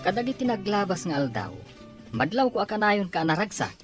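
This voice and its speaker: A man playing a skeptical, bantering young friend in an Ilocano audio drama, with a husky voice. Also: high-pitched, very animated, a little fast, music in the background. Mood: incredulous. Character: skeptical young male buddy, bantering and incredulous.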